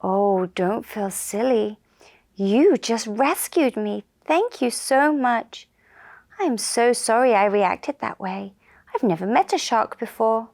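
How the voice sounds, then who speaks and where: A woman voicing a small, good-hearted fairy in a children's puppet show, with a sweet, earnest and expressive manner. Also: fairly high pitch, takes it slowly, gravelly, full character voice. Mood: gentle, grateful. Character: small good-hearted little fairy, gentle and easily startled.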